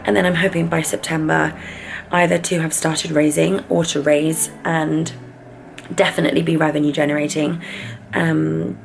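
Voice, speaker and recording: A woman in her twenties, a startup founder, chatting excitedly in a casual vlog with lots of filler words and a croaky voice. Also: deep voice, moderate pace, slight background noise. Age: 20s. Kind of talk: casual vlog chat to camera.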